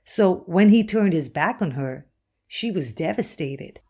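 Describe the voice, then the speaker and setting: A woman's voice: fairly low pitch, animated, unhurried, a little mellow, slightly rough, heavily acted. A woman playing the earnest, loyal best friend of a missing woman, firmly giving her account in a scripted podcast interview. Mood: sad, reflective.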